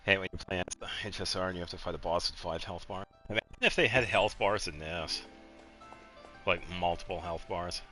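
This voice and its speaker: Low voiced guy doing a chill game stream, rambling to chat, game music going behind him. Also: fairly even intonation, fairly quick, pushed and bright, rough recording. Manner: rambling, low-key, thinking aloud. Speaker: video game live streamer. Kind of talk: casual game stream commentary, chatting with viewers.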